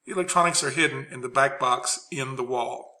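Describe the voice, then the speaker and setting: A man's voice: medium pitch, fairly quick, pushed and bright. A man giving an unscripted instructional presentation as a trainer, explaining things plainly.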